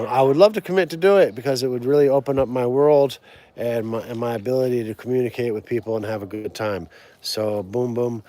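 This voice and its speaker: A man's voice: lowish voice, mellow. A man hosting a casual outdoor live stream, rambling, repeating words and laughing often.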